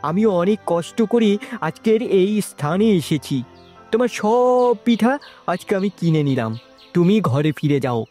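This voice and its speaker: A man acts out a kindly, self-made older villager in a moral-story cartoon. His tone is mellow and relaxed, his manner paternal and inquisitive. Faint music sits behind him. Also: high-pitched, a little slow. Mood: sympathetic.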